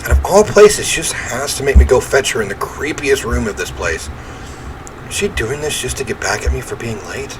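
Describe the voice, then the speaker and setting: Expressive man reading horror, ambient noise behind. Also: mid-range voice. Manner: expressive, theatrical delivery. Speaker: game player reading aloud. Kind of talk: dramatic read-aloud of first-person horror story.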